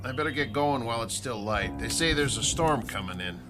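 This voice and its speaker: An actor playing an easygoing army driver in a radio drama, speaking in a casual, offhand way. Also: male voice, mid-range voice, lively intonation, a little fast, close to an everyday voice. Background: music score.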